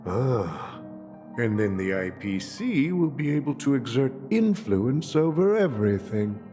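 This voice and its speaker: Slow, animated old patriarch. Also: male voice, medium pitch. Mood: grave, questioning. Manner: deliberate, theatrical, wary. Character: shrewd old male family patriarch and merchant. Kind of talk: scripted game cutscene dialogue. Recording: dramatic music under the voice.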